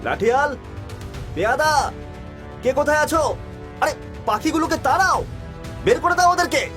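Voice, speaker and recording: Man playing an excitable village landlord, animated pitch. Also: moderate pace, exaggerated voice. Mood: agitated, commanding. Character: agitated male village landlord, commanding yet reassuring. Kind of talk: dramatized animated village story. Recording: music behind the voice.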